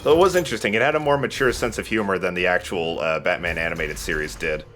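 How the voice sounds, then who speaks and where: A man's voice: low-pitched, fast, fairly bright tone. A man giving casual commentary while he plays a video game, speaking as the host of a let's play. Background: game music.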